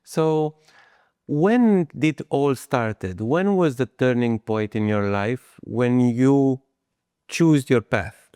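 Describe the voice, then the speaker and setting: A man's voice: mid-range voice, lively intonation, takes it slowly, a little mellow, smooth, no room sound. A man who is a non-native speaker, hosting a podcast and putting conversational questions to a guest. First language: not English. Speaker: podcast host, interviewer.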